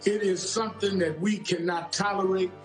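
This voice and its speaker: A man's voice: high-pitched, fairly even intonation, normal speed, soft background music. An American man making an impassioned political statement as an activist, emphatic and urgent, with a roomy echo. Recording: roomy, echoing sound.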